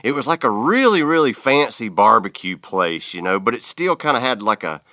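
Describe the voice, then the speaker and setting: A man's voice: mid-range voice, moderate pace, no echo, clean studio sound. A man hosting a podcast, chatting casually with lots of fillers. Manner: relaxed, filler-heavy Southern talk. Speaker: podcast host. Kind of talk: casual interview chat.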